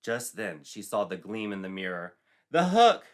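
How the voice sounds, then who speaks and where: A man's voice: low-pitched, fairly even intonation, normal speed. A man narrating a scripted comic tale in a theatrical, arch, mock-dramatic manner. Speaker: storyteller, narrator.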